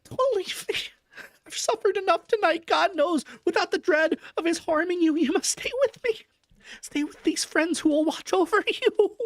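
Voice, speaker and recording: A frantic young woman in a high, wailing falsetto, pleading through sobs, as a man puts her on. The voice sits far above his own and breaks into cries. Recorded close and dry. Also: moderate pace, dry recording.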